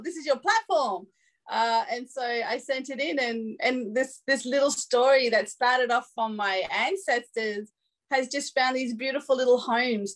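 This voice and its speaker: A woman's voice: on the high side, fairly bright tone. A woman, a filmmaker telling a story on a panel through a video call from home, often laughing. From Australia. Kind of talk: casual panel talk over video call. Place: room at home with curtains. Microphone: laptop webcam on video call.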